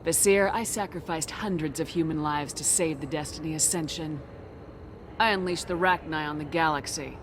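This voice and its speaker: A woman's voice: low-pitched, moderate pace, background noise, lightly acted. A woman playing a bold, sardonic, commanding space-marine commander in a cinematic video game. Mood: cool, resolute. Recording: music behind the voice.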